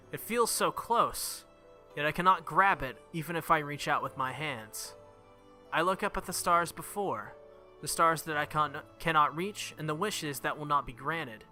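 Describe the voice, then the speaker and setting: Very bright-voiced man reading a visual novel. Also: fairly high pitch. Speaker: let's play host reading a visual novel aloud. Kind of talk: dramatic read-aloud with casual reactions. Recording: loud game music behind the voice. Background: game music.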